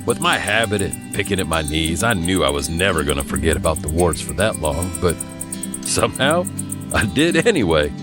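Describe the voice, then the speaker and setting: A man's voice: deep voice, moderate pace, over music. An actor playing a folksy old man telling a tale of his farm boyhood in an audio drama. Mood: amused, reminiscing. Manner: folksy, animated, mimics other speakers. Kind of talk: scripted storytelling in an audio drama.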